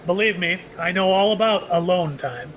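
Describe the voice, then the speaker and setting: A man's voice: high-pitched, normal speed, a bit bright, a little hiss or hum. A man playing a mysterious, ageless shopkeeper in an audio drama, knowing and slyly wry, dropping cryptic, teasing hints. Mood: inviting, wry. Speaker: actor in an audio play.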